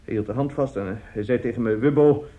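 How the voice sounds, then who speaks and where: An actor in a radio drama playing a middle-aged, self-justifying seducer and family friend, speaking haltingly and emphatically. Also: male voice, mid-range voice, close to an everyday voice. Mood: uneasy confession. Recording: dull, muffled radio play recording.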